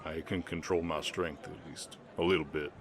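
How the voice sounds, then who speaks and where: A man playing a kind, protective, shy orc ranch hand with a Southern US accent, speaking gently and plainly in an intimate roleplay. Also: a bass voice, steady tone, normal speed, a faint crowd. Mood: warm, playful. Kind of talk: intimate ASMR romance roleplay.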